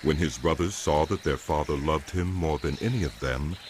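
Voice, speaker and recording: A man giving dramatic, scripted Bible narration in a solemn, deliberate manner. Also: a bass voice, slight background noise. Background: music.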